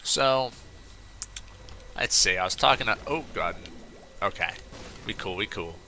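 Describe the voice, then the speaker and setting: A man's voice: on the low side, animated, measured pace, bright, forceful tone, a little hiss or hum. A man hosting a let's play, chatting casually and rambling into off-topic asides while he plays. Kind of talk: casual gameplay commentary.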